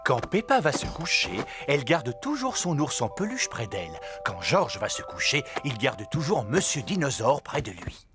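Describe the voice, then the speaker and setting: A man's voice: mid-range voice, huge pitch swings, normal speed, hardly any pauses. A man narrating a children's cartoon in simple, storybook-like scripted lines. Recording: loud music behind the voice.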